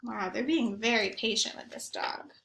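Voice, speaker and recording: A woman doing an expressive read-aloud for young children, with questioning asides to her listeners. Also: mid-range voice, moderate pace, gravelly, echoey room, slight background noise.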